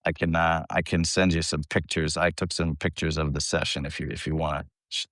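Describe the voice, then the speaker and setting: A man with a low voice talks casually as a guest on a music show. He rambles informally and swears freely. The recording is dry, without room sound. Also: level tone, brisk, fairly bright tone, dry recording. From United States, Philadelphia area. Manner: rambling, informal, salty-tongued. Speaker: metal band vocalist, interview guest. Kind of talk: casual chat.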